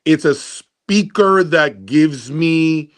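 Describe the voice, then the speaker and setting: A man hosting an audio gear review, talking casually and emphatically to viewers, deliberate and repeating his points for emphasis. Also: a little high, lively intonation. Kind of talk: casual opinionated commentary to viewers.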